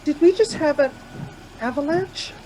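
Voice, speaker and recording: High-voiced anxious woman traveller. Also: a little slow. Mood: frightened, pleading. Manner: urgent, pleading, reassuring. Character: anxious, coaxing woman traveller stranded in a mountain snowstorm. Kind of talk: radio play acting. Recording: background noise with vehicle rumble.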